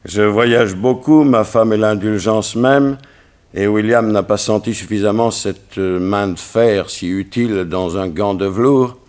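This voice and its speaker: A man's voice: fairly low pitch, measured pace, darkish tone, natural voice. A man playing a middle-aged, troubled and dignified politician, in the formal, earnest manner of 1960s French radio drama. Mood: earnest, indignant. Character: troubled, dignified politician father of a teenage son.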